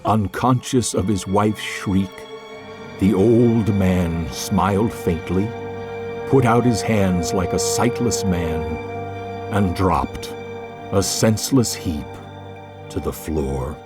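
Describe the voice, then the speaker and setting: A man reading scripted literary narration for an audio drama in a measured way. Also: low voice, over music.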